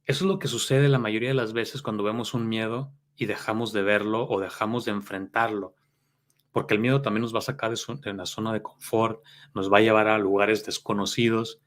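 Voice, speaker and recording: Low-voiced Mexican man coaching. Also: steady tone, fairly quick. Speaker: life coach giving a talk. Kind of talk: motivational self-help talk.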